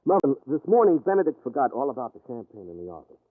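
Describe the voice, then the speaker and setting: An actor playing a wry, weary American husband and amateur sleuth, wisecracking and slangy in 1940s radio drama style. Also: male voice, mid-range voice, very animated, fast. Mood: wry, probing. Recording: tinny, band-limited old radio recording.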